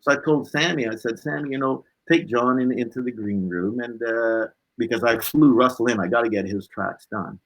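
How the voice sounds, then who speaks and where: A man's voice: mid-range voice, moderate pace, hardly any pauses, rough recording. A man being interviewed as a guest, casually telling personal stories and acting out bits of dialogue as he goes. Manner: animated anecdotal telling, acting out dialogue, tagging phrases with "right?". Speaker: interview guest, blues musician.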